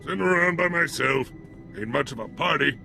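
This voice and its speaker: An actor playing a brutish, bloodthirsty alien gangster in a video game, with a rough voice and crude, sneering street-thug talk. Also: male voice, fairly high pitch, lively intonation, brisk, pushed and bright, soft background music, exaggerated voice. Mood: boastful, nostalgic. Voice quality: rough, gravelly. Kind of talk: video game character acting.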